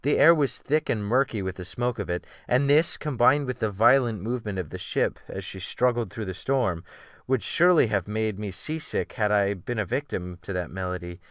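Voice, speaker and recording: A man's voice: on the low side, normal speed, a bit bright, dry recording, studio quality, close to an everyday voice. A man reading a dramatic audiobook as a refined, bookish, self-pitying scholar, with fussy, emphatic literary phrasing. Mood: disdainful.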